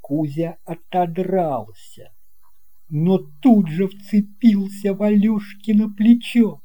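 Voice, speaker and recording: Guy reading a kids story, really slow, very mellow dark voice, expressive. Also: high-pitched. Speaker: audiobook narrator. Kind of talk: scripted narration of a children's tale.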